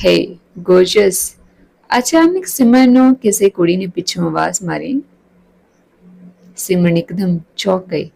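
A woman's voice: lowish voice, a little slow, creaky, warm tone, poor audio. A woman narrating a scripted story as a storyteller.

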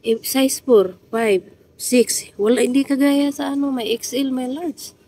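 A woman's voice: high voice, a little slow, mellow, slightly rough. A woman in her thirties filming a casual shopping vlog on a handheld phone in a supermarket, chatty and laughing often as she talks half to herself. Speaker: vlogger. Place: large supermarket aisle. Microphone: phone camera held in hand.

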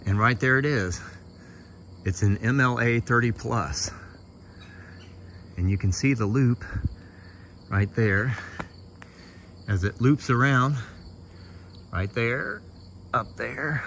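A man's voice: medium pitch, slow pace, vocal fry, pauses often, a bit bright. A middle-aged American man giving a casual, unscripted show-and-tell outdoors in a plain-spoken, folksy way. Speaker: hobbyist presenter. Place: outdoors in a yard and on a roof. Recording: outdoor recording with insect noise.

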